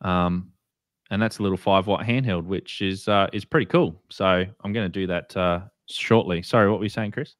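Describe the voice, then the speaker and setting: Low-pitched antipodean man explaining gear casually. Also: moderate pace, dry recording, studio quality. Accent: Australian or New Zealand. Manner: informal, explanatory, chatty. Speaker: co-host of a radio hobby show. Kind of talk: casual product walkthrough for beginners.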